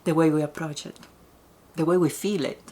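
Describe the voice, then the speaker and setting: A woman with an Italian accent, a native Italian speaker, talking reflectively in an interview with halting, searching phrasing and many fillers. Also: low voice, fairly even intonation, a little mellow. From Italy. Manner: halting, full of pauses and fillers. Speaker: writer and teacher.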